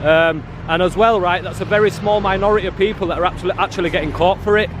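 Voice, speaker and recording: A man filming outdoors outside a prison, loudly challenging staff in a combative, argumentative way. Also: sits high, steady tone, fast talker, background noise. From England. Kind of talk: confrontational street filming. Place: outdoors by a prison car park.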